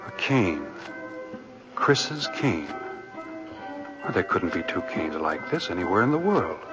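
A man's voice: sits low, big pitch swings, takes it slowly, heavily acted. A man playing a friendly, easygoing lawyer in a 1940s radio drama. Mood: astonished. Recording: old radio broadcast with music.

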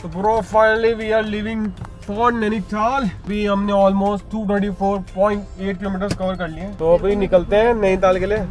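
A young man vlogging as he drives his family along a hill road, chatting casually and excitedly and mixing in English phrases. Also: high-pitched, normal speed, music in the background. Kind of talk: casual running commentary while driving. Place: inside a car on a hill road.

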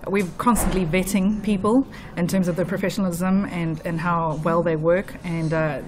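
A woman's voice: lowish voice, fairly even intonation, normal speed, background noise. A woman from Botswana with a mixed international accent, speaking confidently and emphatically as an interior designer guest on a radio show. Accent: mixed international, Botswana and New Zealand.

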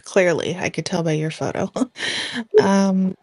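A young woman in her twenties throws sarcastic, mocking jabs into a phone. Her voice sits on the low side, and the sound is close and dry with no room around it. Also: measured pace, a little mellow, no echo. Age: 20s. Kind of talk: heated insult exchange in a live audio chat room.

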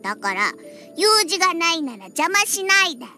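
A woman's voice: extremely high pitch, very animated, normal speed, bright, forceful tone, full character voice. A woman voicing a feisty little catgirl in an anime game, with a childlike, cutesy voice and a huffy, pouty manner. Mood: proud, earnest. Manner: pouty, huffy, with cat-like verbal tics. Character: feisty little catgirl bartender who hates alcohol. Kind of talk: Japanese anime game character acting. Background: background music.

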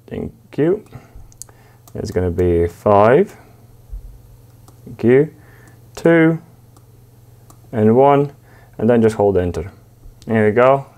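Man, lowish voice, hesitant hands-on explainer recorded in a workshop. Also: darkish tone. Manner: halting, many fillers and restarts, plain explanatory tone. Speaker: technician demonstrating a drive. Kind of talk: hands-on tutorial. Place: workshop with wooden wall panel, indoors.

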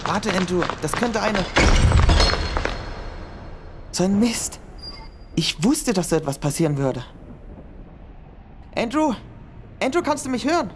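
A woman's voice: mid-range voice, big pitch swings, normal speed, long pauses, background noise, lightly acted. A woman voicing a nervous young male student in an amateur audio drama, fretting and talking to herself. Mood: anxious. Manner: anxious, fretful, talks to herself. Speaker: voice actor in a fan audio drama. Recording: music playing behind voice.

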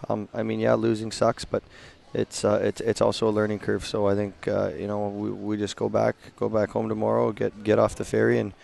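A man in his thirties, a hockey coach giving a halting postgame interview at an indoor rink on a headset mic. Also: fairly low pitch. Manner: flat, gravelly, halting with many restarts.

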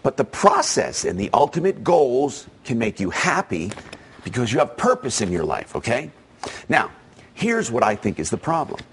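A man's voice: mid-range voice, wildly swooping pitch, moderate pace, slight background noise. A man hosting a show, delivering an emphatic, persuasive opinion monologue straight to his listeners. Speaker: show host.

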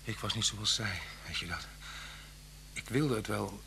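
An actor playing an unhappy, soft-spoken husband on the run in a Dutch radio play, speaking haltingly and often dropping to a near-whisper. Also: male voice, lowish voice, vocal fry, full character voice. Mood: wistful, bitter. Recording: hum and hiss of old radio recording.

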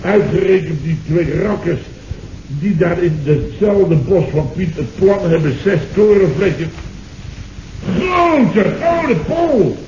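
A blustering boss tells his woes with indignant exclamations in a vintage radio comedy. The recording is muffled, with clear noise and a roomy ring. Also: high-pitched, lively intonation, takes it slowly, darkish tone. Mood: exasperated. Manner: exclamatory, indignant, repeats himself. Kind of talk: 1970s radio comedy acting.

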